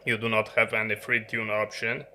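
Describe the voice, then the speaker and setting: A man who is a non-native English speaker, giving a halting, unscripted hands-on explanation of a piece of gear as a video host. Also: lowish voice, flat delivery, bright, forceful tone, slight background noise. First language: not English. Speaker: host of an electronics review channel. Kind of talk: unscripted hands-on product demonstration. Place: indoor electronics workbench.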